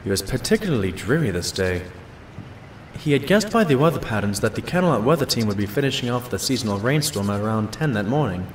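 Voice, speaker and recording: Audio drama narrator, loyal sidekick pony, male actor, storybook pacing, medium pitch, roomy sound. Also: very animated, a little hiss or hum, natural voice. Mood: reflective. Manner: measured, storytelling, mildly amused. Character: loyal male pony sidekick recounting his detective friend's cases. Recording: roomy voice over faint music.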